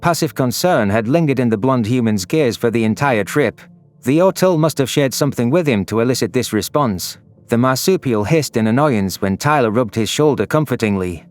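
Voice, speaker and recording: A man's voice: medium pitch, expressive, normal speed, darkish tone. A man reading scripted, dramatic narration for a science fiction audio story. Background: background music.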